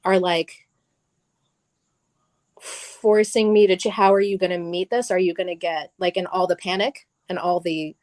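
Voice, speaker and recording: A woman's voice: fairly low pitch, fairly even intonation, a little slow, a little mellow, no room sound. A middle-aged woman, a guest on stage at a live event, talking casually and animatedly, laughing often and restarting her sentences.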